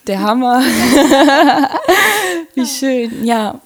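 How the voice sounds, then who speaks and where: A woman's voice: sits high, lively intonation, very slow, husky. Bubbly young Berlin woman chatting. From Germany. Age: 20s. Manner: enthusiastic, laughs often, many fillers. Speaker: podcast guest, design student. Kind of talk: casual chat.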